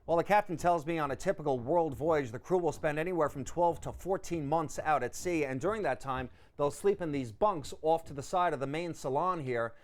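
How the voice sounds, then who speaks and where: A man's voice: fairly high pitch, brisk, hardly any pauses, no room sound. A man reporting broadcast news as a television reporter, with an emphatic, punchy delivery. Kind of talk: broadcast news reporting, live stand-ups and scripted packages.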